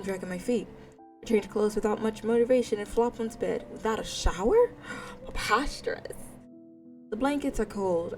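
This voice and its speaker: Female let's-play streamer, mid-pitched, informal and playful, self-deprecating, reading and commenting over faint music. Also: a little mellow. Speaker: gaming streamer. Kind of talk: casual let's-play commentary, reading a visual novel aloud.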